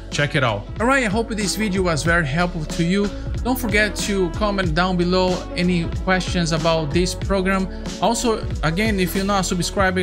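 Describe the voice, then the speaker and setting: Man giving a casual tutorial over music. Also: a little high. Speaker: tutorial host. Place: small home streaming room. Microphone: close desk microphone.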